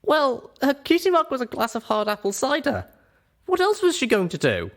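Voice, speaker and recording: A man's voice: very high-pitched, wildly swooping pitch, moderate pace, a bit bright, voice pushed up, full character voice. A man voicing a stubborn, angry young female pony in a dramatized audiobook, with a harsh, emphatic delivery. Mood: defensive, indignant.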